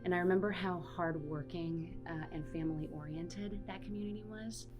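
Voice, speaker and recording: Low-voiced Cuban American woman from Florida; reflective interviewee. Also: steady tone, moderate pace. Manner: reflective, reminiscing. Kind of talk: documentary interview. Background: guitar music.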